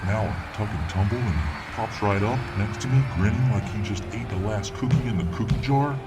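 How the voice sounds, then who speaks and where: A man playing a dominant, cocky fireman boyfriend in an ASMR roleplay, a tough, devoted muscleman with a gravelly, swaggering, grunting delivery. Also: fairly low pitch, recorded from a distance, heavy background noise, close to an everyday voice. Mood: impressed. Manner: breathy grunting, swaggering, drawn-out exertion. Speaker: audio roleplay performer.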